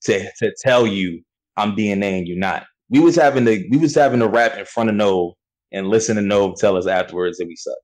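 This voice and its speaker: A young man, a battle rapper, talking about his start in a relaxed, slangy way, often saying "you know what I'm saying". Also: fairly low pitch, fairly quick. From United States. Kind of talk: casual interview chat.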